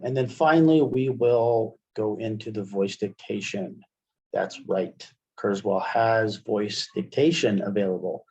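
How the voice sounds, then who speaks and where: Middle-aged man, mellow and slightly muffled. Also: fairly low pitch, a little slow. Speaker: webinar presenter, college media specialist. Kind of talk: software tutorial webinar. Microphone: headset microphone on video call. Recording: dull, lo-fi webinar headset audio.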